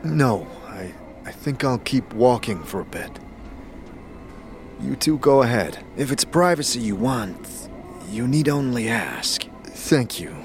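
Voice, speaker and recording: A man plays a stern fantasy wanderer in an audio drama, in a mid-pitched voice. He is terse, blunt and brooding, with faint music underneath. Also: animated, slow pace. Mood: subdued.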